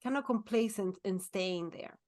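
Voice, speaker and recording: A woman's voice: medium pitch, normal speed, a little mellow. A woman in her forties co-hosting a podcast, talking earnestly and repeating herself to stress a point. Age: 40s. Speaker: podcast co-host. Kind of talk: casual chat.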